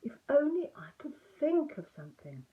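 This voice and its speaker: Over narrow, phone-like audio comes an earnest toy bear from a children's storybook, played by a woman. He is a slow-witted fellow who muses ponderously, with lively rises and falls in pitch. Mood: musing. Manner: ponderous, musing.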